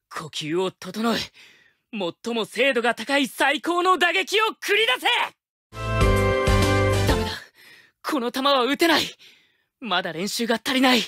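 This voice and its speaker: An actor playing an earnest, determined teenage boy swordsman in an anime game, sincere and intense, often shouting. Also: medium pitch. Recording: loud game music behind the voice.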